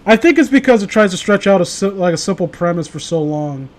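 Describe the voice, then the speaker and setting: A man with a high-pitched voice chats casually about games as a streamer. He rambles through his thoughts in loose, conversational phrasing and curses freely. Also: lively intonation, quick pace, slight background noise. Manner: profane, rambling. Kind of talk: casual gaming chat.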